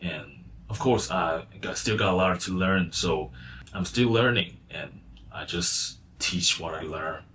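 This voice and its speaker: A man's voice: low-pitched, a little slow, slight background noise. A man in his thirties who grew up in Taiwan, talking casually and reflectively about his life as a freelancer, with fillers and restarts. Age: 30s. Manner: relaxed, rambling, with fillers and restarts. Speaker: vlogger, freelance designer and coach.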